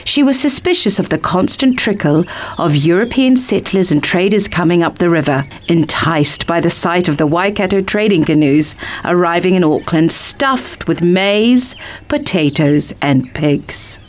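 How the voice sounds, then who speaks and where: A woman's voice: on the low side, animated, unhurried. A woman reading a picture book as scripted story narration.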